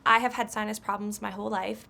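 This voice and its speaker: A woman's voice: mid-range voice, fairly even intonation, fast, gravelly, bright, forceful tone. A young woman with a nasal, congested voice, talking candidly as a patient about her history. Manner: candid, conversational.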